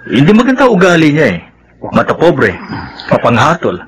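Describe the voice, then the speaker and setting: A man's voice: fairly high pitch, wildly swooping pitch, normal speed, a little hiss or hum, low-quality recording. A man playing a blunt but supportive young friend in a Filipino radio drama, frank and consoling. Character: blunt, supportive young male buddy giving advice.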